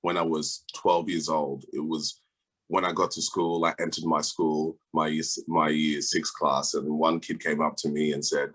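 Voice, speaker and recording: A young man from Austria, a band's lead singer, chatting in an interview in a relaxed, laid-back way. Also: rumbling low, normal speed. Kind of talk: casual interview chat.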